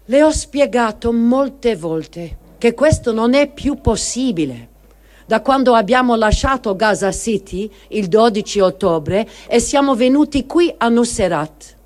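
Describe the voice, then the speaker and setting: A grieving, guilt-ridden adult child voiced by a performer reads out a personal testimony. The delivery is very unhurried and deliberate, with emphatic pauses, like a literary radio reading. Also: mid-range voice, close to an everyday voice. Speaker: reader of testimony. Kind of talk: dramatic literary reading of a first-person diary.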